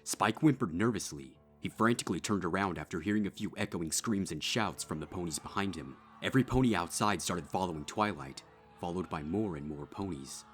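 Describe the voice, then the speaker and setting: A man reading a dark horror story as a dramatic scripted narration. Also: low-pitched, a little fast, breathy edge. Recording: music behind.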